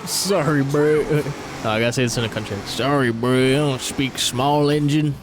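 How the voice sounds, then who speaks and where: A man's voice: medium pitch, moderate pace, over music. A man streaming a video game, chatting casually in a slangy, teasing way. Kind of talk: casual banter over gameplay.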